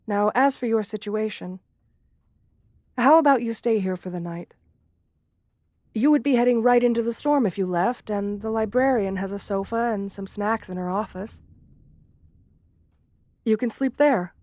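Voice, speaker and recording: A woman's voice: on the high side, normal speed, long pauses, a little mellow, dry recording, clean studio sound, lightly acted. A woman voicing a shy, lonely, romantic gargoyle in an ASMR fantasy roleplay, speaking with formal, polite diction and bashful hesitations. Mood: kind, teasing. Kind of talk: ASMR fantasy roleplay, intimate one-sided dialogue.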